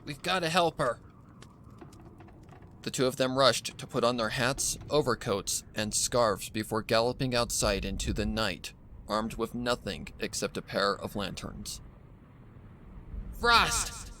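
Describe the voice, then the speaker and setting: A man reading a scripted horror story as narrator in a deliberate storytelling manner. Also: fairly low pitch, steady tone, fairly bright tone, slight background noise.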